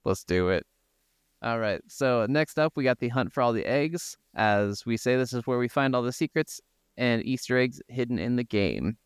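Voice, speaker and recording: A man's voice: mid-range voice, moderate pace, clear, resonant tone, no echo, clean studio sound. A man co-hosting a podcast, chatting casually about games with some offhand swearing. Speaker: podcast co-host. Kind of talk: casual gaming podcast chat.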